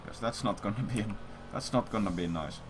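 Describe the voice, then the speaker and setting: A man's voice: on the low side, a little fast, a little hiss or hum. A man livestreaming, telling a story in casual, rambling chat with blunt, repetitive, profanity-heavy talk.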